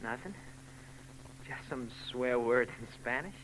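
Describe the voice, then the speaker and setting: An actor playing a giggling, stammering, underweight lush in a 1940s radio drama. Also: male voice, medium pitch, slow, fairly bright tone, a little hiss or hum, rough recording. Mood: wheedling. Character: giggly scrawny barfly man, wheedling lush.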